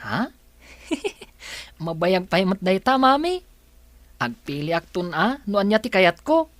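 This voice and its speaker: A performer playing a cheerful, respectful high-school child in an audio drama. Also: sing-song, takes it slowly, no room sound. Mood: eager. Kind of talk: Filipino audio drama acting.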